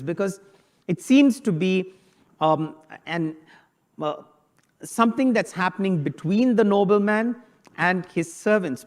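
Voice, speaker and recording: Priest guy preaching, kinda high voice, keeps throwing questions at the congregation. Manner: conversational preaching, rhetorical questions. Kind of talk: sermon on a Bible passage.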